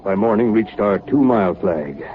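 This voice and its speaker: Male actor as stern Arctic captain, low-voiced, terse and commanding, 1930s radio style, faint noise. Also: expressive, normal speed, lightly acted. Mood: grim, weary. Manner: clipped, terse log-like delivery and barked orders. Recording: muffled, noisy 1930s radio broadcast recording.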